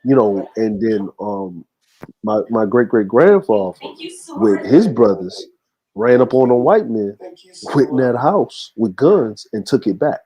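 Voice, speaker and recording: A man's voice: lowish voice, animated, a little slow, warm tone, lo-fi sound. A man telling a family story in casual livestream chat, rambling with many fillers and restarts.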